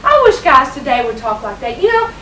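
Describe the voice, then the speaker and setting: A woman's voice: high voice, very animated, fairly quick, very noisy. A woman playing a gushing romantic young woman who rambles breathlessly with many restarts. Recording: echoey room, far from the mic.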